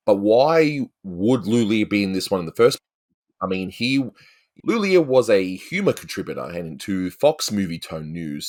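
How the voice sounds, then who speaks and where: A man with an Australian accent giving casual, rambling, chatty commentary as the host of a review show. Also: sits low. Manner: rambling, chatty, peppered with "you know".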